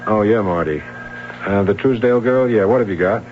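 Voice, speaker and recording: Low-pitched American police sergeant played by an actor. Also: male voice, music in the background, close to an everyday voice. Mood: businesslike. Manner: hesitant, deliberate questioning. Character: weary, methodical male police sergeant investigating a disappearance. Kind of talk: old-time radio drama acting. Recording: dull, noisy old radio recording with hum.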